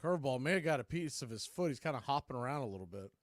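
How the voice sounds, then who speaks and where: A man calling a baseball game live in radio play-by-play style, in short clipped phrases between pitches. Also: expressive, very fast, dry recording, clean studio sound. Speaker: play-by-play baseball announcer and stream host.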